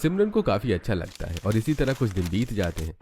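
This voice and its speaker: A man's voice: fairly low pitch, very animated, fairly quick, over music. A man reading scripted storybook narration for a cartoon story.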